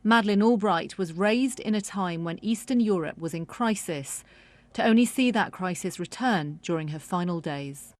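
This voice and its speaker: A female correspondent narrates a scripted news package. Her voice sits at a middling pitch for a woman, and her delivery is that of broadcast reporting. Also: expressive, normal speed, darkish tone, no room sound.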